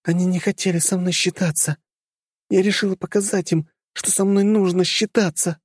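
A woman's voice: fairly low pitch, fairly even intonation, moderate pace, a little mellow, breathy edge, dry recording, studio quality. A woman voicing a broken, bitter mother who speaks in a hollow, fixated way. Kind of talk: audiobook character voice.

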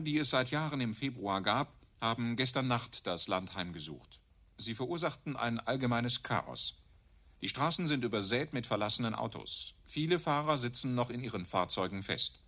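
Bright, forceful newsreader. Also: male voice, mid-range voice. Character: brisk, sober male radio newsreader. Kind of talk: scripted news reading in radio play.

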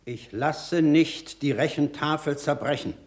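An actor playing a troubled, firm pope in a radio drama, reasoning in an emphatic, deliberate way. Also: male voice, on the high side, lightly acted.

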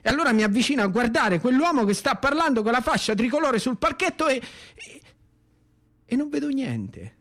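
A man's voice: very high voice, very animated, brisk, fairly bright tone. A man with a Roman accent performing a satirical, impassioned theatrical monologue in a colloquial storytelling style, sardonic and given to repetition.

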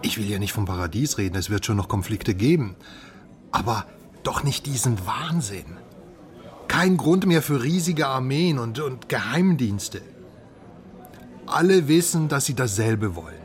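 A male actor plays an optimistic pub philosopher in a German radio drama. He muses expansively in a mid-pitched voice, with wry irony in the way he sets out his views to a drinking companion. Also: lively intonation, unhurried, lightly acted. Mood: musing, confident.

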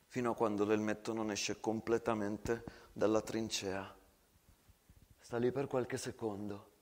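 A man giving a dramatic scripted narration in a hushed, measured and suspenseful manner, as an actor telling a story. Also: on the low side.

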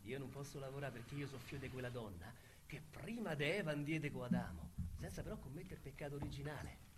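An actor playing a cocky, work-shy Roman ex-convict in a radio drama, with a Roman accent and brash street talk that drops into quiet confession. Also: male voice, medium pitch, sing-song, quick pace, loud music behind the voice, close to an everyday voice. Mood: wistful. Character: Roman small-time crook, ex-convict, cocky and idle.

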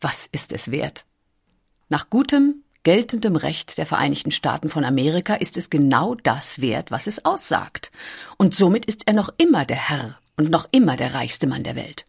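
A woman reading scripted documentary narration in a measured, deliberate storytelling manner with weighty pauses. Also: low voice, sing-song, vocal fry, slightly rough.

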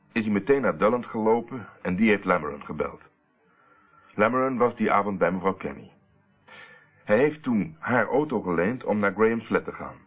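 An actor playing a self-assured private investigator explaining a case in a Dutch radio play, on an old narrow-band recording. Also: male voice, on the low side, slightly rough.

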